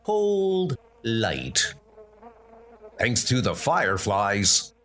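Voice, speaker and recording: A man's voice: on the low side, huge pitch swings, extremely slow, fairly bright tone, full character voice. A man playing a brave adult armored knight, speaking in an earnest, rallying way. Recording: music playing underneath.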